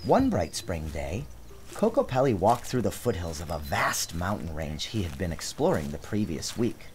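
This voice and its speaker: A man giving a dramatic, expressive narration of a storybook, theatrical in his phrasing. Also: low-pitched, moderate pace. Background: music.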